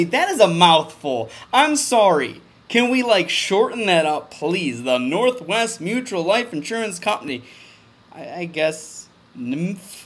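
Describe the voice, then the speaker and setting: A man giving a mock-dramatic comedic reading of a formal document, with overblown emphasis and deadpan muttered asides. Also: high-pitched, slightly rough.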